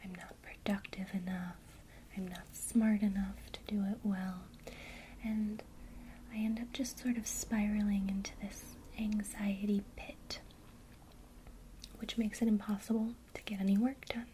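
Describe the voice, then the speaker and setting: Slow whispering woman, soft ASMR. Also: mid-range voice, a little hiss or hum. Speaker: ASMR creator teaching witchcraft.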